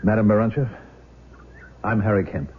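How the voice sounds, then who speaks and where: An actor playing a calm, protective middle-aged foster father in a radio drama, with an American accent and a measured, courteous, firm delivery. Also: on the low side, breathy edge. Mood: polite, guarded. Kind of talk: 1970s radio drama acting. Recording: thin, phone-like, noisy vintage radio recording.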